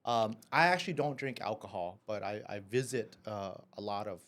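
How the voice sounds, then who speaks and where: A man's voice: on the low side, animated, moderate pace, a bit bright. Chatty man from Vietnam telling his story. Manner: chatty, many fillers and "right?". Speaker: guest, café and restaurant owner. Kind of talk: casual storytelling interview.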